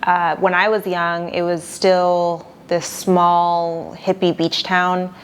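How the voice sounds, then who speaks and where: A young woman attorney from Argentina answering interview questions in a calm, measured, plain-spoken way. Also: fairly low pitch, a little hiss or hum.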